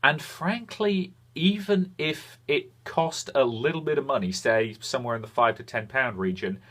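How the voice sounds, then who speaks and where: A man's voice: medium pitch, normal speed, fairly bright tone. A British man narrating a scripted game review in formal, wordy phrasing.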